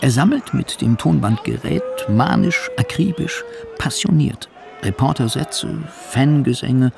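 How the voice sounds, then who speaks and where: Lively, creaky-voiced male narrator, noisy background. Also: mid-range voice, measured pace. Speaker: narrator of a radio feature. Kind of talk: scripted narration. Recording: clear background noise.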